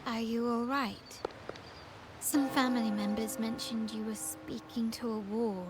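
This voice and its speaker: A woman's voice: fairly high pitch, steady tone, measured pace, background noise, close to an everyday voice. A woman voicing a poised, caring young noblewoman in a video game, composed, courteous and assured. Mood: concerned. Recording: music clearly behind the voice. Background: background music.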